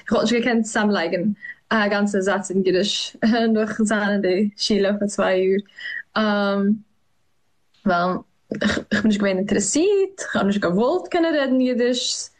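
A young woman, answering interview questions in a halting way, with many fillers and restarts. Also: medium pitch. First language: Yiddish. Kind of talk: hesitant conversational interview.

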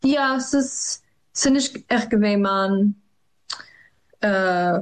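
A young woman, answering interview questions in a halting way, with many fillers and restarts. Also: mid-range voice. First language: Yiddish. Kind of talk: hesitant conversational interview.

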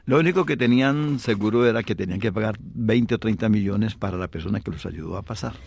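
A man's voice: fairly low pitch, fast, gravelly, darkish tone, slight background noise. A man hosting a Spanish-language community radio talk show, conversational with brief interjections. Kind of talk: radio talk and read advertisements.

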